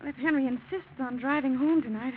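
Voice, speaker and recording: Actress as anxious Nella, tinny old radio. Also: female voice, high-pitched, brisk, close to an everyday voice. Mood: worried. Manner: pleading, hesitant. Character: Nella, anxious, protective, cautious woman. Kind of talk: 1940s radio drama acting. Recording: tinny, noisy old-time radio recording.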